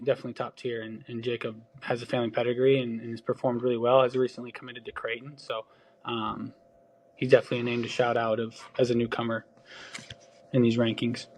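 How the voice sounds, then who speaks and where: A man's voice: mid-range voice, low-quality recording. A man with a Wisconsin accent, a baseball scout talking through prospects in a casual podcast chat, loose and full of ums and restarts. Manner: loose, filler-heavy talk with frequent restarts.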